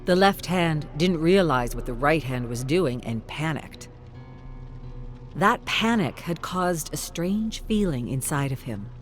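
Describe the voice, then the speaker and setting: A narrator reading a suspenseful scripted story in a measured, dramatic audiobook style. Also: medium pitch, animated, faint music behind.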